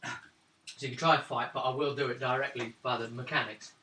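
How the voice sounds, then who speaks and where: A man's voice: medium pitch, fairly even intonation, quick pace, sharp tone, slight background noise. A man running a tabletop game as game master, narrating and explaining the rules. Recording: roomy room, mic far off.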